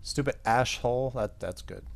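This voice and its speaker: A man's voice: mid-range voice, normal speed, gravelly. A man hosting a gaming video, reading visual novel narration aloud and now and then stumbling and rereading. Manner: stumbles over text and rereads. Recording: faint background noise.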